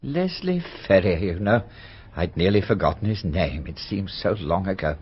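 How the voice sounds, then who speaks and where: An actor playing a reticent, reflective older British solicitor in a radio drama, with a husky, rough voice. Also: male voice, deep voice, lively intonation, moderate pace, slight background noise. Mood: musing, guarded. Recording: dull lo-fi radio recording.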